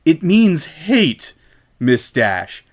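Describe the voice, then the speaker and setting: Man as a seething, high-pitched unicorn shopkeeper lady. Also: sing-song, very slow, heavily acted. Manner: seething, spitting words through clenched teeth. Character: furious, haughty female unicorn shop owner.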